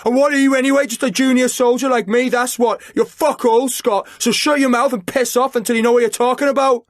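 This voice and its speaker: A man's voice: very high voice, steady tone, brisk, no room sound, clean studio sound, voice pushed up. Man doing a snarling hotheaded young soldier. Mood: furious. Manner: snarling, shouting back defiantly.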